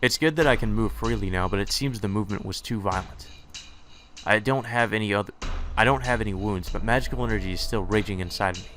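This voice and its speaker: A man's voice: fairly low pitch, fast, a bit bright, soft background music. A man reading visual novel text aloud with casual commentary, sometimes stumbling over words and correcting himself.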